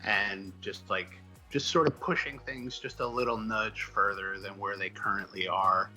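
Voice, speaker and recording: Casual man with a bright, forceful voice. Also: lowish voice, steady tone, soft background music, poor audio. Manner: halting, self-deprecating, rambling thought by thought. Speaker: comic book writer being interviewed. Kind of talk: casual chat, interview answers.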